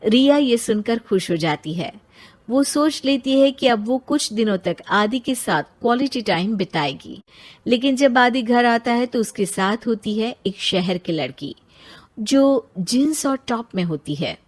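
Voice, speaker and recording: A woman's voice: on the high side, expressive, unhurried. A woman narrating a scripted story.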